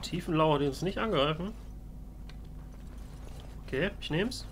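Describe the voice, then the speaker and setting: A man with a fairly high, bright and forceful voice commentates a game in character. He jokes and acts playfully indignant, with faint game noise behind him. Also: animated, measured pace. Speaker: let's play host. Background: video game sounds.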